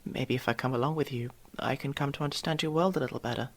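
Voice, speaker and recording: Someone acting a husky, curious young elf. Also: mid-range voice, fast talker. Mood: explaining, composed. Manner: inquisitive, slightly formal, hesitant stammers. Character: curious, proper young elf mage student from another world. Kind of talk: ASMR roleplay, one-sided dialogue with the listener.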